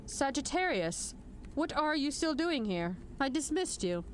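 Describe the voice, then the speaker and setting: A woman plays a female general in a sci-fi audio drama, stern and measured. Her voice sits fairly high and moves expressively as she gives formal orders with dry wit, over faint background noise. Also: very animated. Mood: firm. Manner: formal, authoritative briefing delivery. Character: stern, commanding female military general, measured and dryly wry. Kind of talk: scripted audio drama acting.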